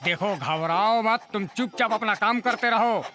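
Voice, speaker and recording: A man's voice: high voice, unhurried, background noise. An actor voicing an obliging, self-assured male cartoon sidekick. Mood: reassuring. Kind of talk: Hindi cartoon dub acting.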